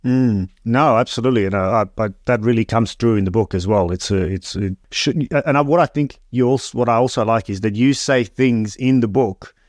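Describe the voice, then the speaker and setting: A man hosting an interview podcast, reading an introduction and then asking questions in a measured way, often restarting sentences. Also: medium pitch, darkish tone, no echo, clean studio sound. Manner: measured, stumbling restarts when unscripted. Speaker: podcast host.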